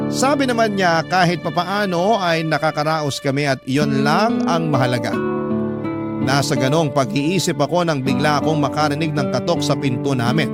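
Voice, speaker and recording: A man tells a reflective first-person story in a steady storytelling voice, slightly high for a man. Loud guitar music plays behind him the whole time. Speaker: narrator. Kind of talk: first-person letter narration for radio drama.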